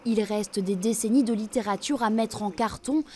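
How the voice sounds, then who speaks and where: A woman's voice: medium pitch, normal speed, mellow, slight background noise. Woman reading a news voice-over. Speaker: news reporter.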